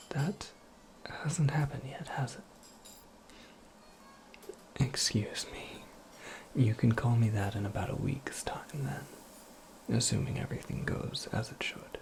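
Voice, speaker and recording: A performer voicing an eccentric, absent-minded witch in a breathy, creaky ASMR roleplay, rambling with wry, cryptic politeness. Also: medium pitch, dark tone. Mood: gentle, coaxing. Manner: rambling, whimsical, politely formal, self-correcting. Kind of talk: soft-spoken ASMR roleplay monologue.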